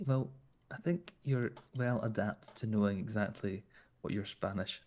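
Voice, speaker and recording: A man's voice: on the low side, a little slow, darkish tone. A man with a Scottish accent talking in character during a roleplay, flirtatious and teasing. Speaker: roleplay player.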